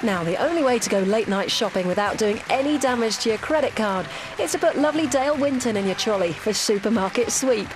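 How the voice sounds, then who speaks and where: A woman reading a bright channel promo as a continuity announcer. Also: on the high side, animated, fairly quick, few pauses, noisy background. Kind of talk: upbeat promo voice-over.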